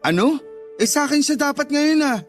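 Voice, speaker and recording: A man's voice: extremely high pitch, animated, moderate pace. A man playing an aggrieved, stubborn Filipino father in a radio romance drama, insistent and conversational. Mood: baffled, upset. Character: aggrieved, stubborn divorced father standing his ground. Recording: music under the voice.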